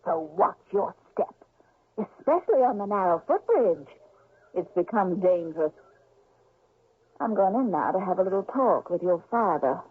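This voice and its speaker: An actress in a radio drama playing a scheming witch and stepmother, proud and sly, with a slow, taunting delivery. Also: on the low side, sing-song, slightly rough, exaggerated voice. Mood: veiled threat. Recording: tinny, band-limited old radio broadcast.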